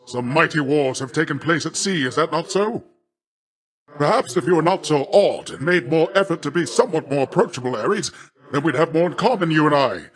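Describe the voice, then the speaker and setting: A man's voice: on the high side, fairly bright tone. A man voicing a boisterous, boastful sea god in a video game, a jovial, doting uncle who speaks in hearty, grandiloquent, old-fashioned phrasing. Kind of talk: theatrical video game character voice acting.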